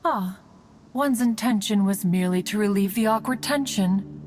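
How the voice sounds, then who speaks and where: A woman's voice: mid-range voice, normal speed, darkish tone, slight background noise, lightly acted. A woman playing an ancient, proud but well-meaning immortal mountain guardian in a video game, speaking in formal, archaic phrasing. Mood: earnest. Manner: formal, archaic, refers to herself as "one". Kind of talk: anime-style video game voice acting. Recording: background music under the voice.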